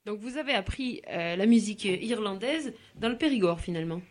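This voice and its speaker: A woman's voice: mid-range voice, animated, brisk. A woman hosting a casual radio interview, prompting her guest and adding her own recollections. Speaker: radio host.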